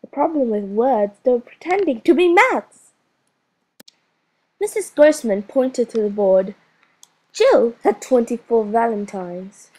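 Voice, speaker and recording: A woman narrating a children's storybook in a slow, expressive reading with lively emphasis. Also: fairly high pitch, rough recording. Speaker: audiobook narrator.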